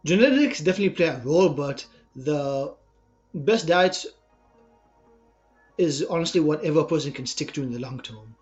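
A man's voice: mid-range voice, darkish tone, dull audio. A young man with an Israeli accent, a personal trainer answering podcast interview questions in an earnest, explanatory way.

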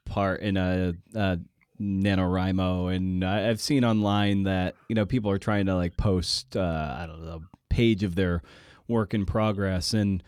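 A man with a fairly low voice interviews a guest in a dry, close recording. He speaks informally, circling around his question and thinking out loud in a halting manner. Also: steady tone, smooth, dry recording. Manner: halting, rambling, thinking aloud. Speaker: podcast host, interviewer.

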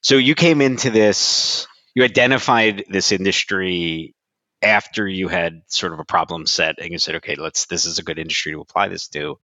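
Deep-voiced podcast host, bright and forceful. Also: male voice, normal speed, no room sound, low-quality recording. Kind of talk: podcast intro and interview questions.

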